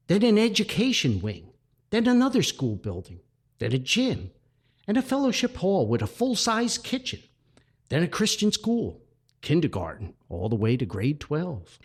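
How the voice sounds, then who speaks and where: A man's voice: mid-range voice, husky. A man hosting a podcast, talking and reading a story aloud in a folksy storytelling way. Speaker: podcast host, author.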